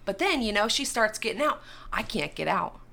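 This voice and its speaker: A woman with a low voice for a woman, telling stories in casual chat with friends and laughing often. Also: moderate pace, gravelly. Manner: laughs often, animated storytelling.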